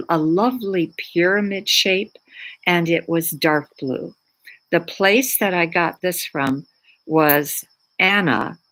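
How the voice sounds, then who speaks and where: A woman from Poland, whose first language is Polish, talking casually about her crystals as a spiritual teacher, pausing often for words. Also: on the low side, fairly bright tone, low-quality recording. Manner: unhurried, searching for words with many fillers. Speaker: spiritual teacher and Reiki practitioner.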